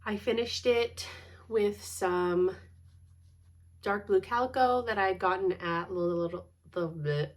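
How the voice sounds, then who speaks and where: A woman in her thirties talks at an ordinary pitch, her voice thick and stuffed up from congestion. She chats casually at home, rambling into asides and breaking into laughter often. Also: unhurried. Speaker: cross-stitch vlogger. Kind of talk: casual chat.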